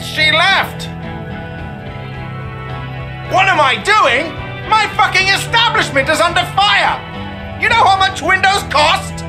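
An actor playing a panicked, indignant business owner in a video game, with a shrill, frantic delivery. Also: male voice, expressive, takes it slowly, long pauses, music in the background, full character voice. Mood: panicked outrage. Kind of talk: scripted video game character acting.

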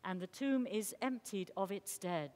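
A woman's voice: mid-range voice, measured pace, a little mellow. A woman reading a homily as clergy, in a solemn liturgical style. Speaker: clergy reader.

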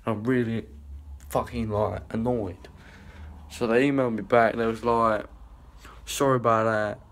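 A man's voice: on the low side, steady tone, moderate pace. A young man, rambling and swearing in a casual vlog filmed on a phone held at arm's length. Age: young adult. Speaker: vlogger. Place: small indoor rooms and a hallway.

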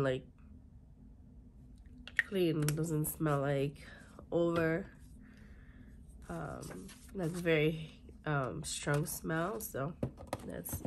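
A woman in her thirties, hoarse and raspy from a cold, chatting through a beauty unboxing and reading product labels aloud in a halting way, in a small room. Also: lowish voice, a little hiss or hum. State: hoarse, congested from illness. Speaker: beauty vlogger. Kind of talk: casual unboxing chat. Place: small bedroom with fluffy chair, indoors.

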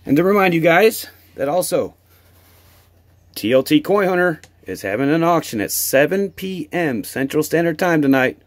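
An American man, a hobby vlogger with a husky voice, chatting casually and appreciatively to viewers as he shows things on camera. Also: fairly high pitch, lively intonation, normal speed. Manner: enthusiastic, appreciative. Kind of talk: casual chat to camera while opening mail.

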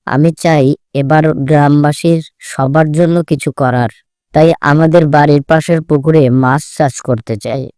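Gentle village father, dry sound. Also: on the high side, steady tone, unhurried, clean tone, dry recording. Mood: kindly, hopeful. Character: kindly, hopeful village father, plain-spoken fish farmer. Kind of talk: Bengali cartoon voice acting.

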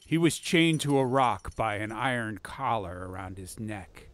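A man narrating a fantasy audiobook in a slow, soft, measured way. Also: fairly low pitch, animated. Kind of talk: atmospheric storybook narration. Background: ambient music.

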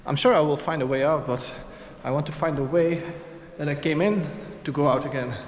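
A man's voice: fairly high pitch, fairly quick, a little hiss or hum. A man in his thirties from Belgium, chatting casually as a travel vlogger while walking around, laughing often. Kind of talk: casual vlog narration. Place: outdoor stadium and its corridors. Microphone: action camera held at arm's length.

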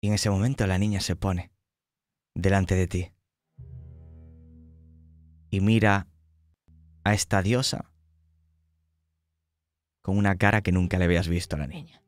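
Guy running a horror rpg, lowish voice, slow and dramatic, soft music underneath. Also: fairly even intonation, dry recording, studio quality. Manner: slow, dramatic, describing scenes. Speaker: game master. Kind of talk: narrating a tabletop horror game.

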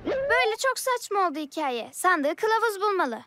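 A woman's voice: very high-pitched, big pitch swings, moderate pace, over music. A woman voicing a headstrong, imaginative young cartoon girl who talks assertively and expressively. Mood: insistent. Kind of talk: children's cartoon dubbing.

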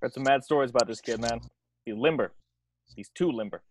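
A loyal celebrity pal read by a man in a comedy table read. His voice is mid-pitched, with faint noise on the video call. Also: brisk, close to an everyday voice. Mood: worried.

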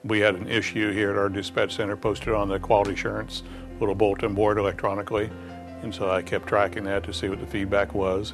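Flat, low-voiced dispatch worker. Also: male voice. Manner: casual and conversational. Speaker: emergency dispatch center worker. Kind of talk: documentary interview.

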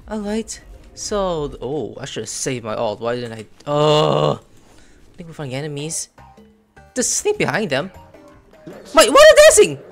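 A man streaming a video game, commenting casually and breaking into loud, high yelps during fights. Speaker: gameplay streamer. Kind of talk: live gameplay commentary. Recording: game music playing underneath.

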